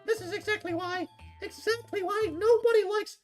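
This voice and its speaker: A woman voicing a bratty, hot-tempered teenage anime girl in a video game, sharp-tongued and indignant. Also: very high voice, moderate pace, faint music behind, pitched above the performer's own voice. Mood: angry, scornful. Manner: snappy, indignant, taunting. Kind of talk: visual novel character voicing.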